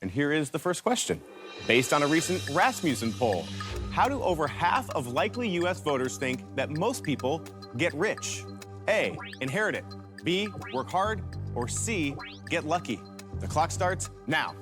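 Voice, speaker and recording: A man hosting a game show, reading rules and questions in an energetic announcer style. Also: on the high side, moderate pace. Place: TV studio. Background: background music.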